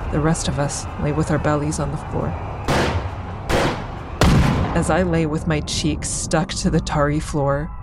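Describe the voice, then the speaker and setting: An actress playing a traumatized woman survivor in an audio drama, recounting her ordeal in a measured, deliberate way. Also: sits low, little pitch movement, heavy background noise. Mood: somber, haunted. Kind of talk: full-cast audio drama monologue.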